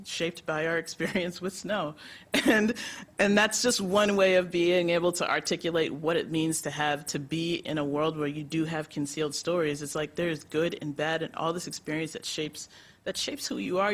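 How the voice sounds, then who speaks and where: A woman from the United States, a nonprofit executive director, speaking on a conference panel in an animated, chatty way with lots of laughs and fillers. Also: lowish voice.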